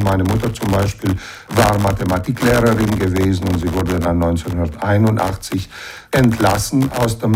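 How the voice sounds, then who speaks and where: A middle-aged man from western Romania's Banat region speaks in a fairly low voice, working through his answers patiently and explaining as he goes. Ums and ers thread through his sentences. A steady hiss of noise sits under the recording. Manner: measured, explanatory, with frequent fillers. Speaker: interview guest. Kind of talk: reflective interview answers.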